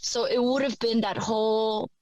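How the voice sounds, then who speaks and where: A woman raised in Poland whose first language was Vietnamese, answering interview questions in a measured way with frequent fillers. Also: a little high, fairly even intonation, a little mellow, no room sound, muffled recording. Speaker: guest. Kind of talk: conversational interview.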